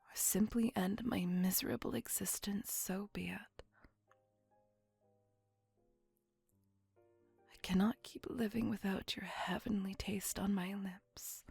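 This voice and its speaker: Ancient female vampire played by a woman, ASMR roleplay. Breathy half-whisper, languid and old-fashioned, tenderly possessive, very close and dry mic. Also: mid-range voice, a little mellow, no room sound, heavily acted. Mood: yearning, desperate. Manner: formal old-fashioned wording, languid, doting. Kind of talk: ASMR roleplay, intimate scripted monologue. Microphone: close microphone.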